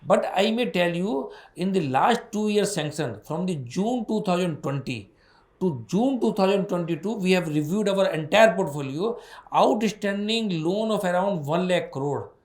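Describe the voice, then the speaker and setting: Higher-pitched Indian man, emphatic and repetitive. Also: expressive, moderate pace, roomy sound. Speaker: bank executive. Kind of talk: answering analysts on an earnings call.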